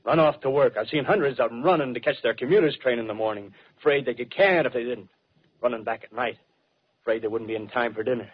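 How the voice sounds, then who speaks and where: A dull, muffled old radio recording of a fanatical American soldier played by a male actor. His voice is husky and his talk is rough, clipped and insistent. He is a scheming survivor in a 1930s radio play. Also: medium pitch, fast talker, close to an everyday voice. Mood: fierce, insistent. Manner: colloquial tough-guy talk, clipped phrases, insistent.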